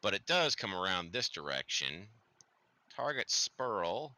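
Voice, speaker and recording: A man's voice: low-pitched, sing-song, measured pace, pushed and bright, no echo. A man running a tabletop game as dungeon master, narrating playfully with sound effects.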